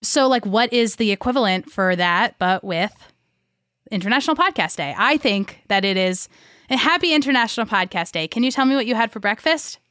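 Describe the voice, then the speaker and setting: A woman's voice: fairly high pitch, lively intonation, fairly quick, fairly bright tone, no room sound, clean studio sound. A woman talking in a casual, conversational way as a podcast co-host.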